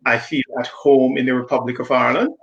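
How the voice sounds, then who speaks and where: A man from Jamaica talks through a video call in a reflective, halting way, often circling back to restart a thought. His voice has a gravelly, creaky edge at an ordinary middle pitch. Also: steady tone, echoey room, highs cut off. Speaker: diversity consultant guest. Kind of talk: conversational live-stream discussion.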